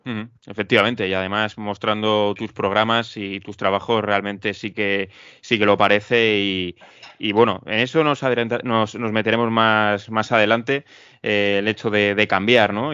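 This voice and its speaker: Spanish man hosting a podcast, smooth voice. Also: on the low side, a bit bright, no echo, muffled recording. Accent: Spain Spanish. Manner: halting, repeats words, many fillers. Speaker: podcast host. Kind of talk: casual interview.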